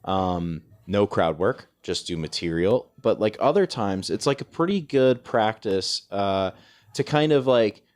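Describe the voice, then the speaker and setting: Low-voiced man chatting casually, hesitant. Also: lively intonation, a little slow, a little mellow. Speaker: podcast host and stand-up comedian. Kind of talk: casual podcast chat.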